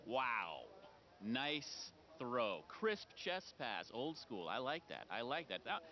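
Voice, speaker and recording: An actor playing a slick, flattering carnival game barker in a screen drama, with showy, fast-talking sales patter. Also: male voice, mid-range voice, dull audio, slight background noise, heavily acted. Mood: coaxing, flattering. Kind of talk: naturalistic screen acting.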